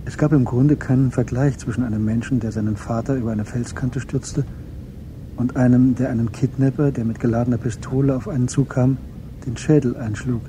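A man's voice: mid-range voice, fairly even intonation, brisk, noisy background. A man reading scripted literary narration as the narrator of a German radio drama.

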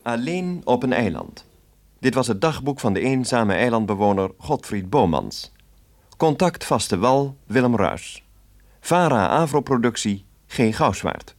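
A man reading scripted programme credits in a formal, deliberate 1970s radio announcing style. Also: medium pitch, sing-song.

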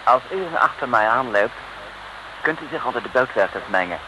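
An actor playing a polite, secretive British police inspector with an English accent, mixing English words in, in old radio serial style. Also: male voice, medium pitch, expressive, moderate pace, close to an everyday voice. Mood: earnest, instructing. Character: courteous British male police inspector working undercover. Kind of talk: 1950s-60s radio serial acting. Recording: noisy old radio recording.

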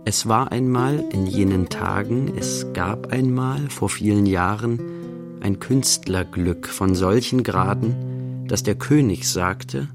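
A man playing a wry, measured storyteller, narrating a radio play in rhythmic, deliberate phrases. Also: on the low side, gravelly. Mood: measured, reflective. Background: quiet guitar music.

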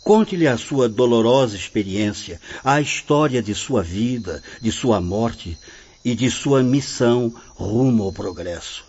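A man playing a calm, benevolent spirit guide in a radio drama, speaking in a solemn, formal, instructive way. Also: mid-range voice, a little slow, lightly acted. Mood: solemn, encouraging. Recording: muffled, lightly noisy radio play audio.

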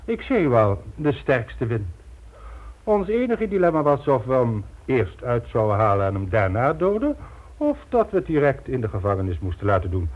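Radio drama villain: suave, ruthless, condescending businessman of crime, animated delivery, muffled lo-fi recording. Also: medium pitch, moderate pace. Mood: coldly menacing. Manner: smug, polished, coolly condescending. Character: suave, ruthless male criminal mastermind running a business. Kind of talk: Dutch radio play acting. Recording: dull lo-fi old radio recording.

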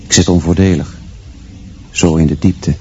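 A man's voice: sits low, fairly even intonation, darkish tone, husky, muffled recording. A man playing a brooding teacher who narrates his private thoughts in terse, literary radio drama monologue. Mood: brooding, measured. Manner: measured, terse, observant. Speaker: actor narrating in a radio play. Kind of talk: literary first-person inner monologue.

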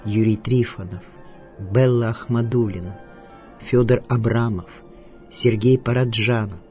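Male narrator, mid pitch, scripted audio biography, measured literary declamation with music underneath.

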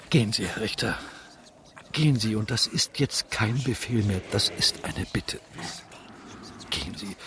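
An actor playing an accusing local man in a German radio play, warning and pleading with emphatic, repeated urging. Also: mid-range voice. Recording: noisy ambience around the voice.

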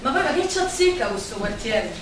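A woman's voice: fairly high pitch, big pitch swings, fairly quick. A woman acting a blunt, mocking, gossipy working-class Roman in a dialect radio play. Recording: distant, echoey room, noisy live recording.

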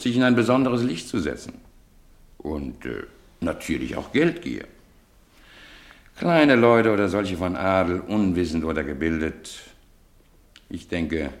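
A man's voice: deep voice, full character voice. An actor playing an eminent, skeptical man of letters, pompous, emphatic and sardonic, in a 1950s radio play. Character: Doctor Samuel Johnson, scornful, skeptical eminent man of letters.

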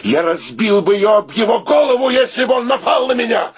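A man's voice: sits high, very animated, brisk, slightly rough, exaggerated voice. An actor playing a flustered young man on the run in a comic radio play, agitated, stammering and melodramatic. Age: young adult. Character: flustered young male lover on the run, theatrical.

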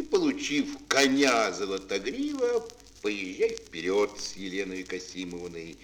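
A helpful, crafty grey wolf from a children's audio fairy tale. The low voice swings widely in pitch while speaking in a deliberate, drawn-out way. There is some noise from an old mono recording. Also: male voice, a bit bright, full character voice, low-quality recording. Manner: slow, deliberate, expressively drawn-out delivery.

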